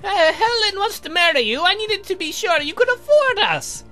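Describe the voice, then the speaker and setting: Flustered old man acted by a male gamer: defensive, halting, extremely high and lively, light music underneath. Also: full character voice. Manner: halting, stammering.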